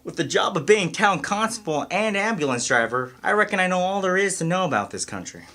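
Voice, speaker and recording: A man playing a folksy, excitable small-town constable who also drives the ambulance, in a radio mystery. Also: high-pitched, brisk, full character voice. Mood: folksy, wary. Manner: folksy country talk. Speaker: amateur voice actor in an audio mystery. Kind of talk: scripted radio mystery acting.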